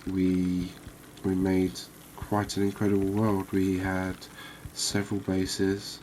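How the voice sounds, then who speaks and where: A man giving calm, unhurried, soft-spoken ASMR gameplay commentary, musing aloud as he plays. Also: low voice, a little hiss or hum, poor audio. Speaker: gaming video host.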